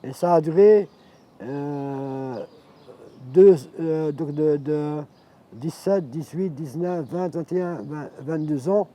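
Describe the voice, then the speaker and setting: A man in his fifties from Morocco, an Arabic speaker, telling his life story in a reflective, halting way. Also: a little high, warm tone. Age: 50s. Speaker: interviewee. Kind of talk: personal life testimony.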